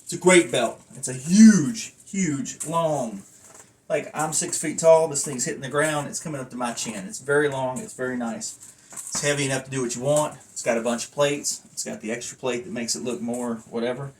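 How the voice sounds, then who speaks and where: A man's voice: mid-range voice, normal speed. A man chatting casually in a rambling hobby review, with a folksy, self-deprecating and casually profane manner, recorded on a phone away from his mouth. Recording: noisy phone recording, distant mic.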